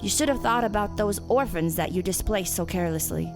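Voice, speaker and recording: A woman's voice: mid-range voice, brisk, over music, natural voice. A woman playing a self-righteous, menacing killer gardener in an audio drama, theatrical and condescending as she taunts her captive. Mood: righteous menace. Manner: theatrical, condescending, swinging from soft to shouting. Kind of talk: audio drama villain monologue.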